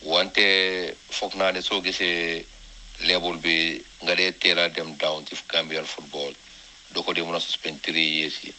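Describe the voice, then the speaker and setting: A man with a very deep voice and a strikingly bright, hard edge speaks casually to a group. A little noise sits behind him. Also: flat delivery, measured pace, poor audio. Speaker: discussion participant. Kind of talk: casual spoken opinion on a topic.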